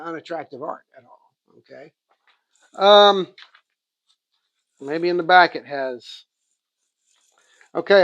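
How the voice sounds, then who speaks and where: A man's voice: a little high, a bit bright, no room sound, dull audio. A man with an Oklahoma accent, rambling and thinking aloud as he casually shows off and reviews what he got. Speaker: comics channel host. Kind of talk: casual show-and-tell haul review.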